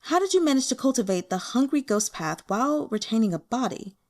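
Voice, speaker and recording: Woman voicing a predatory, gleeful immortal woman, animated. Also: a little high, a little fast, voice pushed up.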